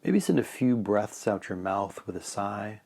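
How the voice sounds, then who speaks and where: A man leading a guided meditation in a calm, measured way, letting phrase ends trail off softly. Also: fairly low pitch, creaky, heavy background noise.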